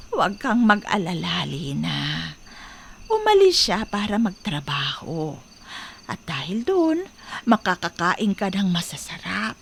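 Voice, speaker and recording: A woman's voice: medium pitch, gravelly, a little hiss or hum. A woman playing a tender, worried Filipino grandmother in an audio drama.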